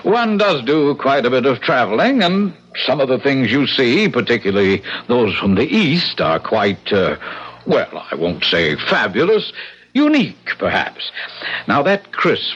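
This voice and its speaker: An actor playing a genteel older landlord in a 1970s radio drama, fussily polite and ingratiating with a faintly sinister edge and sly, hesitant, mannered phrasing. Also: male voice, medium pitch, fairly bright tone, breathy edge, exaggerated voice. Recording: muffled 1970s radio broadcast recording.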